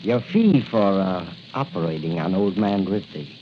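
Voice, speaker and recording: Cold crooked financier, swooping delivery. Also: male voice, low voice, lively intonation. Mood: cold, gloating. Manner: menacing, mock-polite, deliberate emphasis. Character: smooth-talking, cold-blooded male crooked financier and murderer. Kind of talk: 1940s radio acting. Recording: crackly, muffled 1940 radio recording.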